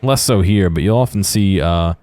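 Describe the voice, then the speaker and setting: A low-voiced man talks through game footage as a video creator. His delivery is casual and unscripted, halting and hesitant as he feels for his words. Faint music plays behind him. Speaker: video creator giving commentary. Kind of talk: casual gameplay commentary.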